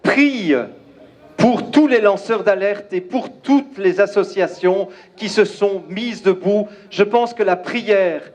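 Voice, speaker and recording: A man's voice: high-pitched, a little mellow. Emphatic Belgian man addressing a rally. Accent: Belgian French. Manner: emphatic, declamatory, pausing often. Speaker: rally speaker. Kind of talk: outdoor rally speech. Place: outdoor crowd gathering.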